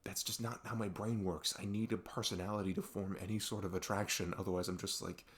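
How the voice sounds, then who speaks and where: A man playing a best friend who confides about a fight with his parents in a casual, halting, rambling ASMR roleplay style. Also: low voice, steady tone, natural voice. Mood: frustrated. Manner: halting, rambling, exasperated sighs.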